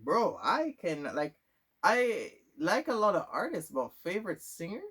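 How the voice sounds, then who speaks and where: A young man talks casually to the camera, answering questions about himself. His voice sits a little high for a man, and he rambles loosely, circling back with "like" and half-finished restarts. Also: huge pitch swings, measured pace, fairly bright tone, husky. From Jamaica. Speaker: vlogger. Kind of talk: casual Q&A monologue.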